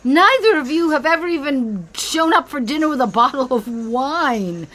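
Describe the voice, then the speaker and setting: A very high-pitched woman in her late sixties in an audio comedy. She is a restless wife and mother who gushes and stammers through grand, heartfelt declarations. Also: animated, moderate pace, fairly bright tone. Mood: impassioned. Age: 60s. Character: restless, gushing 68-year-old wife and mother reinventing herself. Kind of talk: comedy audio play acting.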